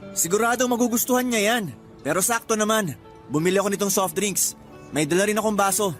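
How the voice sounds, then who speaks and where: A male actor playing an upbeat, loyal young suitor in a Filipino radio drama, chummy and animated. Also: high voice, brisk, faint music behind.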